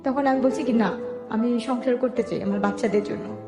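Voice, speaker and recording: High-voiced Bangladeshi woman telling her story. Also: fairly quick. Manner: colloquial, step-by-step narrating with quoted dialogue. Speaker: interview guest telling her life story. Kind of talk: personal testimony in conversational speech. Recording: echoey room with music underneath. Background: background music.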